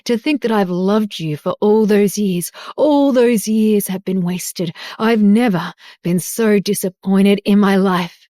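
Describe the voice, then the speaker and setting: A woman voicing an enraged middle-aged father who shouts with contempt. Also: medium pitch, slow pace, no echo, studio quality, lightly acted. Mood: furious.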